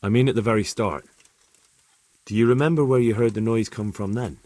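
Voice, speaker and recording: Casting: polite investigating soldier played by a man, game drama, lowish register, tactful delivery, dry sound. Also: brisk, no room sound, lightly acted. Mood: gentle, inquiring. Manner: polite, hesitant, gently questioning. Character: earnest, courteous young soldier investigating a raid. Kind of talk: dramatic video game acting.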